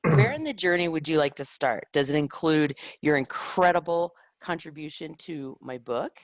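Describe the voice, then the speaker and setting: A woman's voice: low voice, animated, normal speed, dry recording. A woman author and lettering teacher interviewing her contributors, encouraging and full of affirming replies. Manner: encouraging, warm praise with many affirming "mm-hmm"s. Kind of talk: casual chat.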